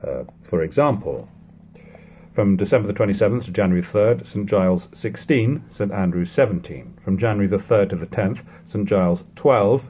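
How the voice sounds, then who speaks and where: Low-pitched man in his thirties, calm audiobook reader, slight hiss behind, home webcam recording. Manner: measured, even reading of old prose. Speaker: reader of a classic book. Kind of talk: unhurried audiobook reading. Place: living room at home.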